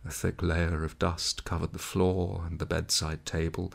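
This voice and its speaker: A man's voice: sits low, little pitch movement, unhurried, gravelly. A man reading a literary story aloud in a dramatic manner. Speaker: audiobook reader.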